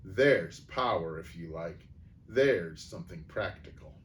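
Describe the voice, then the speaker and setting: A man's voice: deep voice, animated, a little slow, slight background noise, pitched below the performer's own voice. A man voicing a bitter, hostile dwarf who speaks coldly and snaps at others in a storybook reading. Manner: cold, snapping, rising to a scream. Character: bitter, hostile male dwarf, cold and resentful.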